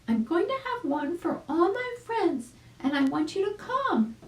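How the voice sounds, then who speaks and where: A woman's voice: extremely high pitch, big pitch swings, a little slow, voice pushed up, full character voice. A woman voicing an eager, friendly young chicken girl in a storybook, gushing and exclaiming. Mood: excited, eager. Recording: roomy home recording.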